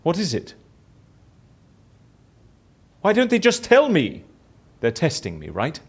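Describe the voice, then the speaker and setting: Theatrical, restless raconteur, swooping wildly. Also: male voice, on the high side, wildly swooping pitch, takes it slowly, breathy edge, full character voice. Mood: bored, restless. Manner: theatrical, chatty, self-important. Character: bored, boastful, theatrical immortal raconteur and fixer, male. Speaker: lead character in audio fiction. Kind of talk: audio drama acting.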